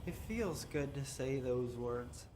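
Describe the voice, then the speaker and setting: A possessed young man speaks slowly and with eerie serenity. The game character's short, detached statements echo over faint ambience. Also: mid-range voice, expressive, a little mellow, a little hiss or hum. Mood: eerily calm. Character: possessed young man, a former test subject, unnervingly calm. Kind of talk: video game voice acting. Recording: echoey room with faint background ambience.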